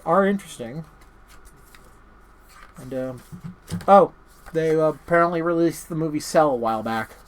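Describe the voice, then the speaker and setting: Rambling, stammering man reviewing movies. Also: on the high side. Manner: rambling, stammering, self-interrupting. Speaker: host of a horror movie review channel. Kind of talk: casual, unscripted movie review to camera.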